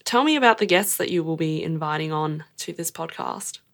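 A woman's voice: low voice, animated, a little fast. A woman interviewing a podcast host in a playful, teasing, casual way.

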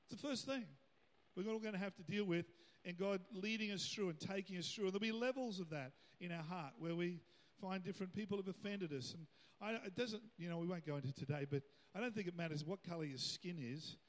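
Male pastor, middle-aged, high voice, chatty rambling sermon, long church-hall echo. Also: a little fast. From Australia. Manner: conversational and rambling, with frequent asides to the congregation.

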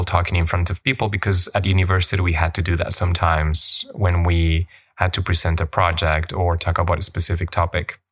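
Guy hosting a podcast, deep voice, slow and thoughtful, kinda self-questioning. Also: a bit bright. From Colombia. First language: Spanish. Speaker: yoga teacher and podcast host. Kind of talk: reflective podcast monologue.

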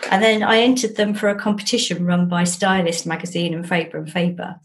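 Female author guest, English accent, slightly low voice, enthusiastic, discursive, self-deprecating raconteur. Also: fairly even intonation, a little fast, slight background noise. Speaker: author being interviewed. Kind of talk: chatty anecdotal storytelling. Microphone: video call.

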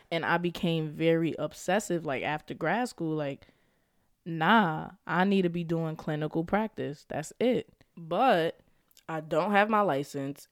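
A woman's voice: low voice, no room sound, studio quality. A young woman hosting her own podcast, talking casually in slang and laughing often, a little stuffy from a cold. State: slightly congested. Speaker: podcast host; school counselor. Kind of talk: casual solo podcast monologue.

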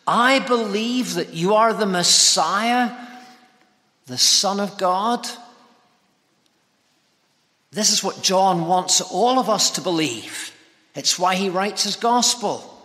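A man preaching an expository sermon, speaking with emphatic, deliberate phrasing. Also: high-pitched, bright, forceful tone. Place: large reverberant church or hall. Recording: hall reverb.

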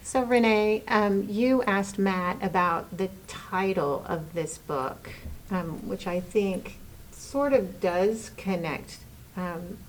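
Slow-paced woman hosting, with background noise. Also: medium pitch, rough recording. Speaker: discussion host. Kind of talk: moderated book discussion.

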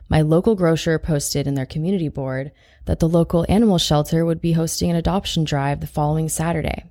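A woman's voice: low voice, warm tone. A woman reading first-person short fiction as a narrator, in a wry, confiding way.

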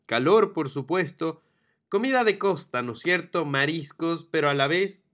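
A man's voice: fairly high pitch, fairly bright tone. A man from Ecuador hosting a travel podcast, talking enthusiastically and expansively. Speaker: podcast host. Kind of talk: solo travel podcast narration.

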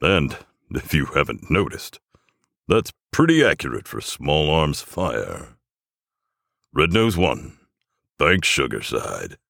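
A man acts out an old Father Christmas turned gruff squad commander in a comic war story. He growls orders in a deep, creaky voice with forceful, highly animated delivery. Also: slow pace, dry recording, clean studio sound, exaggerated voice. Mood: commanding. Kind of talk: military comedy audio story.

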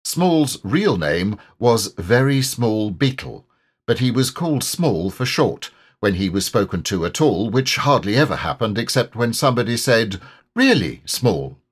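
A man reading a children's story as an audiobook narrator, in an expressive, measured way. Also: mid-range voice, breathy edge. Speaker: audiobook reader.

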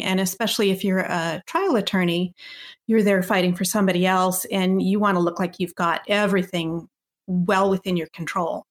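Woman host, even mid-pitch, measured interviewer. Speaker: podcast host. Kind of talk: interview questions.

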